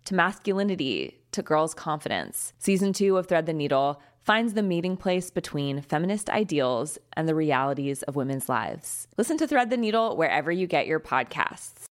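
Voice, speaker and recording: A woman with a low voice reads a podcast promo. The delivery is scripted narration, like an ad spot. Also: very animated, normal speed, hardly any pauses.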